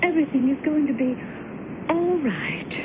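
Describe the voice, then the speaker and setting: Anxious, high-voiced devoted wife. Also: female voice, very animated, moderate pace. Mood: nervous, placating. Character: anxious, devoted wife humoring her confused husband. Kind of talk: radio drama acting. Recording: noisy, dull old radio broadcast.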